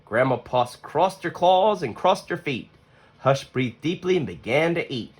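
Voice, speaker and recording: A man reading a children's story aloud as an expressive narrator, with deliberate pauses between phrases. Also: fairly high pitch, breathy edge. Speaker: storybook reader.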